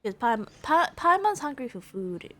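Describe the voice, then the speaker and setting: Lively high-voiced woman streaming a game. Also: moderate pace. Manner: soft interjections, playful reactions. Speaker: gameplay streamer. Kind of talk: casual live game commentary. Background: video game music and dialogue.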